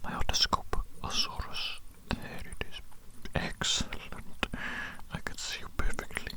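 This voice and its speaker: A performer acting out an eccentric mad doctor who whispers throughout an ASMR exam. The delivery is breathy and unvoiced, with playful, fussy murmuring and repeated little phrases, as if doting on a tiny specimen. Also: unhurried, lightly acted. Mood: gleeful, fussy. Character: eccentric evil mad doctor fussing over tiny amoeba patient. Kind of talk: ASMR medical roleplay, whispered.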